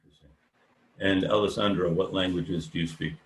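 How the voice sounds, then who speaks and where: Deep-voiced professor lecturing slowly. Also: male voice, steady tone. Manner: unhurried, thinking aloud with many ums. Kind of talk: online class lecture.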